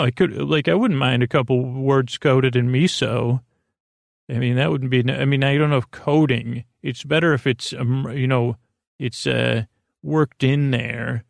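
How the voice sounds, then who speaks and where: A man with a gravelly voice hosting a bedtime podcast, improvising a meandering, halting monologue that circles back on itself and trails off. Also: mid-range voice, no echo, studio quality. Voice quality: gravelly, creaky. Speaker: podcast host.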